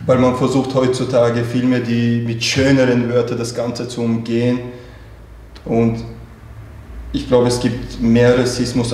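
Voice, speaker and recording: A man's voice: mid-range voice, darkish tone. A man on a discussion panel, answering a question in a reflective, reasoned way. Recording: very echoey hall, faint noise.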